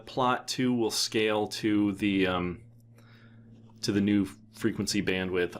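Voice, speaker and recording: A man presenting a casual, unscripted software tutorial in an offhand way, thinking aloud as he goes. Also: fairly low pitch, a little hiss or hum.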